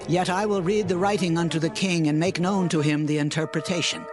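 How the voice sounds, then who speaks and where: A man's voice: on the high side, fairly even intonation, a little fast, natural voice. A man playing a solemn prophet who declaims judgment on a king in archaic English, in a dramatized scripture reading. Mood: solemn, accusing. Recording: music clearly under the voice.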